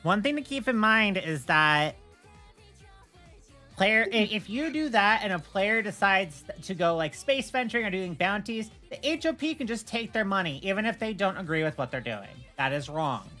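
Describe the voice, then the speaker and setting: A woman's voice: medium pitch, sing-song, moderate pace, bright, forceful tone. A woman streamer talking casually on a livestream, making her points in a firm, emphatic, argumentative way. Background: music.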